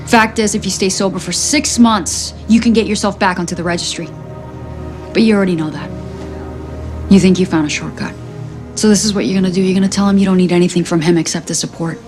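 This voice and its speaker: A woman's voice: medium pitch, fairly even intonation, a little fast, a little mellow, close to an everyday voice. An actress playing a firm, no-nonsense female police officer in a TV drama clip. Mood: stern. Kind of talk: TV drama acting. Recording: TV clip with faint music.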